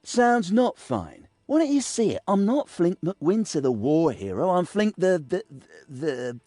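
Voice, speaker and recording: A cowardly fantasy-game thief, his voice raised and jumping around in pitch. He is hesitant and wryly reluctant, heard dry and close. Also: very animated, a little slow, mellow, no room sound, faint music behind, full character voice. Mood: sullen reluctance. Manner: hesitant, sardonic.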